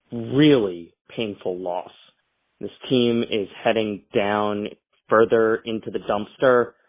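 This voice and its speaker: A man calling in again with a voicemail, complaining in frustration. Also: on the low side. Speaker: repeat caller leaving a voicemail. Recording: tinny phone-line voicemail.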